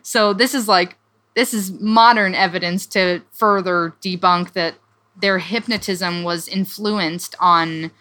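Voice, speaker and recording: Bright-voiced young woman chatting. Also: fairly low pitch, steady tone, takes it slowly. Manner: chatty, many fillers and restarts. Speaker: podcast co-host. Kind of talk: casual chat summarizing a book.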